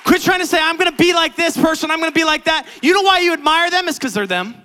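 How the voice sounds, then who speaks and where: A man preaching a sermon as pastor, swinging from quiet, low talk to loud, high-pitched exhortation. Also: quick pace. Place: church sanctuary.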